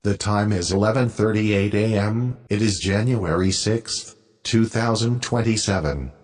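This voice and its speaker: A man with a fairly low voice narrates his own amateur recording. He speaks in a deliberate, log-like style, stating things plainly for the record. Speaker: amateur storm observer.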